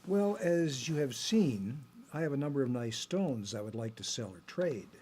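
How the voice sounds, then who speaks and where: An actor playing a calm, principled adventurer in an audio drama, speaking in a measured, slightly formal way. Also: male voice, medium pitch, a little hiss or hum, close to an everyday voice. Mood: polite, persuasive. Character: calm, principled male adventurer seeking lost artifacts.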